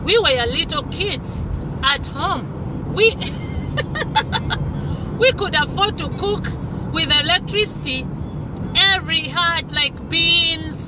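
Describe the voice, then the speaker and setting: A woman's voice: very high voice, lively intonation, slow, pauses often. A Ugandan woman in her thirties hosting a vlog, talking passionately about society, repeating words as she searches and slipping into Luganda phrases. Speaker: vlogger host. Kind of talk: earnest social commentary monologue. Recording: loud car and road noise.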